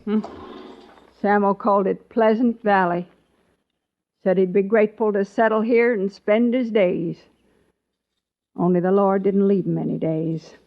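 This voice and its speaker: An actress playing a tough, bitter older pioneer widow with a rural American accent in an old-time radio western. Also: female voice, medium pitch, unhurried, creaky, long pauses. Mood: mournful. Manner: plaintive, scornful, folksy. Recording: muffled old radio recording.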